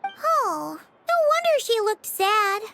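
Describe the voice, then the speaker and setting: A woman's voice: very animated, slow, gravelly, very noisy, heavily acted. A woman voicing a tiny, chirpy and curious girl companion in a game. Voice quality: very high, bouncy, childlike voice. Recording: game soundtrack music behind.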